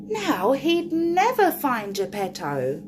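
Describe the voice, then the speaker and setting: Animated woman reading to children, very lively pitch. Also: slow, noisy background. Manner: addresses young listeners, draws out key words. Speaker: children's storyteller. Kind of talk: expressive picture-book read-aloud for children.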